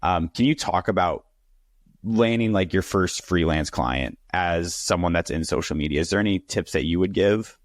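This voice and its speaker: A young man hosting an interview, chatting casually with lots of "like" and quick affirmations. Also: deep voice, no room sound, studio quality. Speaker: interview host.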